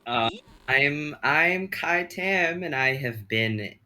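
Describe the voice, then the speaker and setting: A young man speaks slowly in a very bright, lively voice, chatting with friends on a stream. He draws his words out and laughs as he goes. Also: on the high side. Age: young adult. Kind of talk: casual stream chat.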